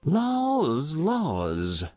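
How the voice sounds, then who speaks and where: A man's voice: on the high side, huge pitch swings, unhurried, vocal fry, dry recording, voice pushed up, full character voice. A man voicing a stout, kindly old countrywoman who fusses over a guest, with a twangy rural way of talking. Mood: fussing. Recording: dull muffled dry recording.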